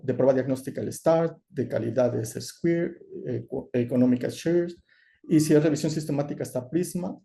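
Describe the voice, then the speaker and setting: A man giving an online lecture over a video call, explaining in a measured way with frequent "eh" and "¿no?". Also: medium pitch, mellow. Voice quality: gravelly. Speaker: course lecturer.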